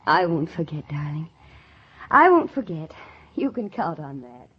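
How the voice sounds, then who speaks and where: A woman's voice: deep voice, very animated, measured pace, slightly rough, full character voice. An actress playing an earnest, ambitious young country woman in a 1940s radio drama, speaking in a dramatic, wistful and emphatic way. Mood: pleading. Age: young adult. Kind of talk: 1940s radio drama acting and first-person narration. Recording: muffled old 1940s radio recording.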